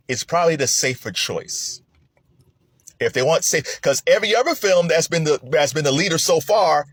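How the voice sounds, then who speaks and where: A man casually sharing his film awards predictions, with an animated manner, laughing often and swinging from excited highs to low mutters. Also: breathy edge, no room sound, clean studio sound. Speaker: film commentator. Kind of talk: casual spoken commentary.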